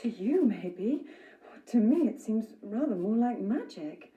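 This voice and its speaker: A woman's voice: on the high side, sing-song, slow pace, dark tone. An actress playing a woman client consulting a detective in a radio drama. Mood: impressed, relieved. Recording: echoey radio drama playback, noisy.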